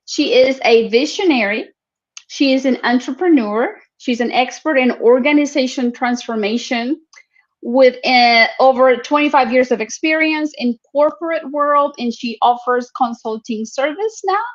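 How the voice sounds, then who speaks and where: A woman hosts a live-streamed talk show and introduces her guest with enthusiasm. Her voice is notably high, and little laughs slip in between phrases. The sound comes through dull, lo-fi remote call audio. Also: moderate pace. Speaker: show host.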